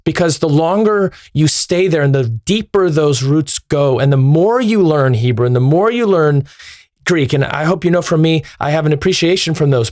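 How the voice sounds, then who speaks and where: A man with a fairly high voice, recorded dry with no room sound. He speaks as a pastor in a podcast discussion, reflective and searching as he lays out a long question. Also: a little mellow, dry recording.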